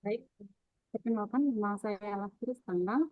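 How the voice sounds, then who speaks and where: A woman's voice: medium pitch, unhurried, dry recording. A woman from Medan as a guest on a casual podcast, speaking politely and agreeably. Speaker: podcast guest, civil servant. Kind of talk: casual group podcast chat. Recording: tinny, phone-like sound.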